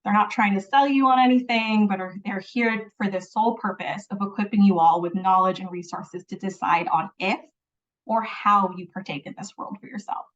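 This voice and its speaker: A woman's voice: medium pitch, steady tone, moderate pace. A woman opens a webinar with an earnest, reflective welcome, dropping in small laughs as she speaks. Her voice comes from a little way off the mic, and the audio is dull and lo-fi. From Philippines. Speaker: webinar host. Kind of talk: scripted welcome and land acknowledgement. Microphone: video call. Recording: distant, dull lo-fi call audio.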